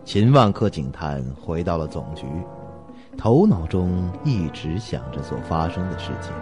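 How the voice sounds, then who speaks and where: A man's voice: deep voice, very animated, measured pace. A man narrating a suspense audio drama in a dramatic, scripted storytelling style. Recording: loud music under the voice. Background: loud music.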